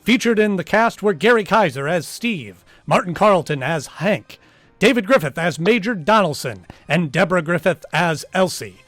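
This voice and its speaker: A man's voice: high-pitched, expressive, normal speed, fairly bright tone, no room sound. A man announcing as host of a radio drama, reading scripted credits and sign-offs in old-time programme style.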